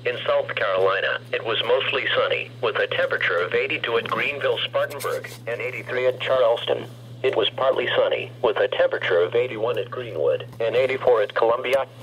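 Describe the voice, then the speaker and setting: A man's voice: mid-range voice, brisk, fairly bright tone, lo-fi sound. American male weather announcer, synthetic, automated broadcast reading, radio speaker, faint hum. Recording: humming radio speaker picked up by camera.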